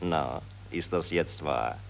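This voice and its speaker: An actor playing a pompous, bullying newspaper editor in a 1940s German radio play. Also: male voice, rumbling low, slow pace, vocal fry, slight background noise, exaggerated voice, poor audio. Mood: smug insistent. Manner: pompous dictation switching to hectoring threats. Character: bullying, insinuating male Viennese newspaper editor dictating his story.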